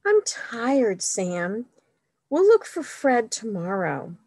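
A woman's voice: fairly high pitch, sing-song, slow, a little mellow, husky, no echo, voice pushed up, exaggerated voice. A woman voicing a sensible, sleepy older sister who speaks patiently and a little wearily.

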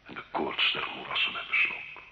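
A man's voice: normal speed, pushed and bright. An actor playing a condemned nobleman and former soldier, haunted and proud, in solemn, brooding radio drama. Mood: wistful, brooding. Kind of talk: slow theatrical radio drama acting. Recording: dull old radio recording, roomy echo.

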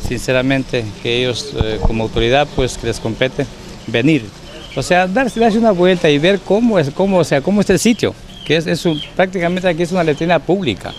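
A man's voice: a little high, brisk, a little hiss or hum. A man in his fifties, a local business owner, complaining indignantly in a street interview into a handheld mic, repeating his points. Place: busy street market outdoors. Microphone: handheld reporter mic. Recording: busy street crowd behind.

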